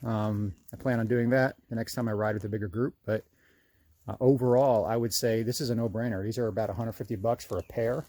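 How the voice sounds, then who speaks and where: A man's voice: on the low side, fairly even intonation, brisk, warm tone. A man, plain-spoken and conversational, talking through a casual hands-on product review.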